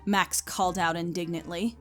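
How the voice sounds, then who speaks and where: A woman's voice: on the low side, steady tone, moderate pace, a bit bright. Woman narrating a story over music.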